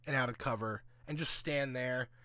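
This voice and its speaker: A man's voice: medium pitch, normal speed, pushed and bright, dry recording. A man giving casual, opinionated commentary in a blunt, sweary, sarcastic way, often asking and answering his own questions. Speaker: host of a gaming commentary audio log.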